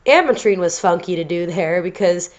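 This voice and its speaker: A woman's voice: on the low side, lively intonation, moderate pace. A woman with a stuffy nose talking casually and rambling over a drawing video, with lots of fillers and restarts. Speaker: art channel host. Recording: slightly dull lo-fi home recording.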